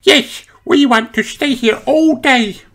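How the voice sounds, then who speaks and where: A man voicing keen little girl animal playmates in a children's storybook, squeaky and exclaiming. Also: takes it slowly, over loud music. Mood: pleading.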